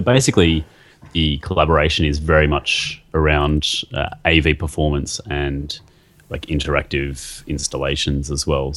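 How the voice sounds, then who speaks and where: A man's voice: extremely low pitch, fairly bright tone. A man interviewed as a guest artist, talking casually and hesitantly, with many fillers and restarts.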